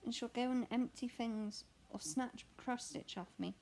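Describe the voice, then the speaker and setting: A young woman with a croaky voice, chatting candidly and rambling while she stitches at home. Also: a little high, moderate pace, darkish tone, a little hiss or hum. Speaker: cross stitch vlogger and mother. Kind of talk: casual chat answering a question tag while stitching.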